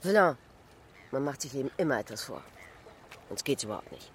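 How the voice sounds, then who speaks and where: An actress playing a capricious, passionate Parisian courtesan in a radio drama, teasing and tough, with giggles and drawn-out questions. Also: female voice, rumbling low, very animated, a little hiss or hum. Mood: probing.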